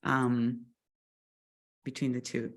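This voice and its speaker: A woman's voice: a bass voice, little pitch movement, slow pace. A woman hosting an online meeting on a video call, speaking with some hesitant fillers. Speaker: meeting host.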